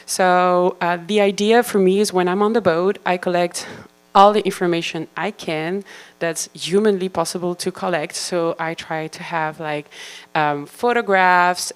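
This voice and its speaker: A woman's voice: fairly low pitch, smooth. A woman in her thirties from Belgium with a Belgian accent, giving a friendly, chatty conference talk as a whale researcher, with many fillers and restarts. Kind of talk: conference presentation.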